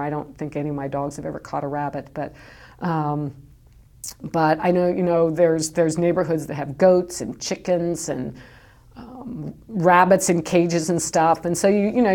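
A woman's voice: low-pitched, a little mellow. A woman who runs a dog kennel, talking casually in a recorded interview with many fillers and restarts. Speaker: dog kennel owner, guest. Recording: home tape recording.

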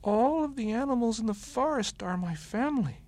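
A man voicing a tiny, lonely young male bunny who talks in a high, plaintive, sobbing voice. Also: lively intonation, normal speed, a little mellow. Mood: comforted.

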